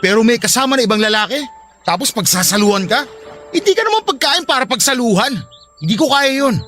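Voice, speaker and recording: A man's voice: very high-pitched, lively intonation, fast talker, a bit bright, soft background music. An actor with a Filipino accent playing a devoted but reluctant husband, earnest as he talks with his wife in a radio drama. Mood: protesting, exasperated. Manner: protesting, earnest.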